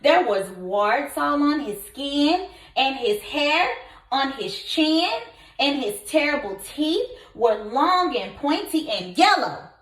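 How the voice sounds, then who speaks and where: A woman teacher reading a story aloud to young children in an expressive, deliberate way, prompting them with questions. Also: sits high. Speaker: kindergarten teacher. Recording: echoey room.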